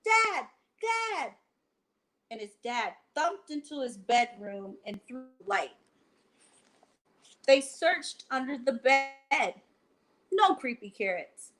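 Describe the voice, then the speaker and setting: A woman with a high-pitched voice hosts a children's bedtime storytime. She takes her time, phrasing things deliberately and speaking straight to young listeners as she reads aloud. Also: expressive. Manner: slow, deliberate phrasing, addressing children directly. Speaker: library storytime host and reader. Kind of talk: bedtime picture-book read-aloud for children. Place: at home, indoors.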